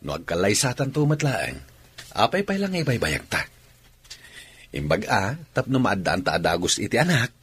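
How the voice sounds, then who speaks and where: An actor playing an earnest young suitor in an Ilocano radio drama. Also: male voice, fairly low pitch, big pitch swings, normal speed, fairly bright tone, husky, heavily acted. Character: earnest young suitor promising to marry and provide.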